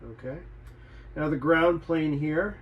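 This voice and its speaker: A man's voice: on the high side, slow pace, dull audio, a little hiss or hum. A man who works as a repair technician, talking unscripted as he inspects his work, thinking aloud, repeating himself and swearing mildly. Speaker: radio repair technician and channel host.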